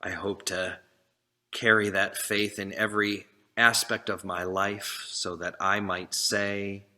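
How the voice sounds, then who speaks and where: A man preaching a sermon as a minister, speaking earnestly in short, deliberate phrases. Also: fairly low pitch, sharp tone.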